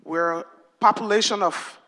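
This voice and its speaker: A woman's voice: medium pitch, moderate pace, sharp tone. A woman from Liberia earnestly asking a formal question as an audience member at a public forum.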